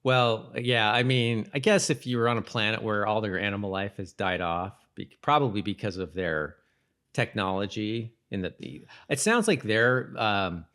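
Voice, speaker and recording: A man's voice: fairly low pitch, lively intonation, moderate pace, fairly bright tone. A man co-hosting a podcast, chatting casually and jokingly, often repeating words as he thinks aloud. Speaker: podcast co-host. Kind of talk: casual chat about a TV episode.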